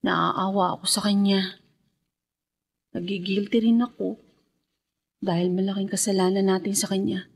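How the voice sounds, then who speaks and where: A woman playing a gentle, anxious young woman in love, soft and caring, in a radio drama. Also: mid-range voice, flat delivery, normal speed, gravelly, long pauses, husky. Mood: guilty, subdued.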